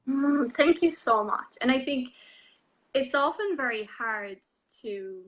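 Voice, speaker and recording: A woman in her thirties with an Irish accent, telling her story as an interview guest in a soft, unhurried way with many ums. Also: high voice, lively intonation, poor audio. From Ireland. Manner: soft-spoken, unhurried, frequent ums and you-knows. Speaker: guest, transformational coach. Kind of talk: reflective personal story in an online interview.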